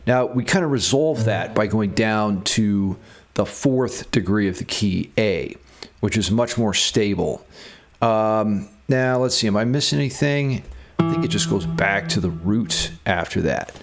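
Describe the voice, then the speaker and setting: A man's voice: lowish voice, unhurried. A man hosting a music theory lesson, explaining in a playfully theatrical, tongue-in-cheek way. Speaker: music theory teacher and video host. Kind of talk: guitar music theory lesson with scripted dramatic intro then casual explanation.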